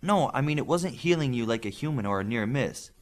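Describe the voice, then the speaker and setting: A man reads as a young superheroine medic in an audiobook. She is brisk and bossy, her raised voice rising and falling freely as she explains and scolds in a clinical way. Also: mid-range voice, animated, voice pushed up, natural voice. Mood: intrigued.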